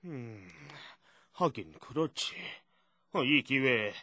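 거칠고 힘찬 위엄 있는 임금님. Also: male voice, mid-range voice, animated, slow, pushed and bright, no room sound, full character voice. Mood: concerned, pondering. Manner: stately, old-fashioned royal speech. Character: trusting, dignified middle-aged king and father. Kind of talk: children's puppet show voice acting.

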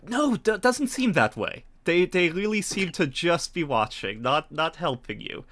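A middle-aged man narrating a tabletop game as game master, conversational and halting as he thinks it through. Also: on the high side, very animated, a bit bright, a little hiss or hum. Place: home room, indoors, on a video call.

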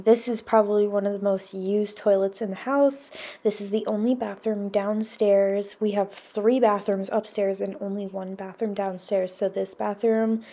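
A woman's voice: mid-range voice, mellow. A young woman narrating her home cleaning vlog in a casual, chatty voice-over, enthusiastically recommending products. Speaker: cleaning vlogger.

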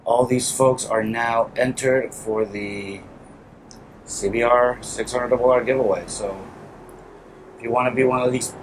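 A man's voice: mid-range voice, steady tone, unhurried. A man, probably in his thirties, hosting a casual how-to vlog while he works in a small garage, speaking informally. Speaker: motorcycle vlogger, host. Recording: clearly noisy workshop ambience.

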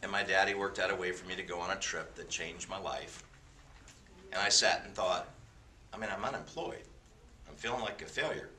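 A man's voice: low-pitched, fairly quick, pauses often, pushed and bright, distant mic, a little hiss or hum. A man in his fifties leading a class with an informal sermon, mixing stories with jokey, self-deprecating asides. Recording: roomy sound from away from the mic.

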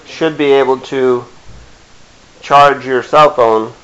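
A middle-aged man giving a casual hands-on demonstration in a halting, plain-spoken way. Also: medium pitch, steady tone, fairly bright tone. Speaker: DIY video maker. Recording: dull lo-fi camera sound with hiss.

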